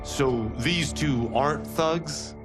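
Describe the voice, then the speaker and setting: A man's voice: on the high side, slow pace. An actor playing a cocky, eager young male fighter with a brash manner in a video game cutscene. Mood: skeptical. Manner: brash, questioning. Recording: loud cinematic music behind.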